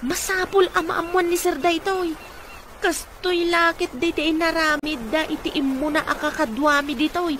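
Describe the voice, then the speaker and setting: Household woman for radio drama: very high pitch, protective, indignant and urgent, noisy backdrop. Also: steady tone, normal speed. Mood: indignant, insistent. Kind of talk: Ilocano radio comedy-drama acting.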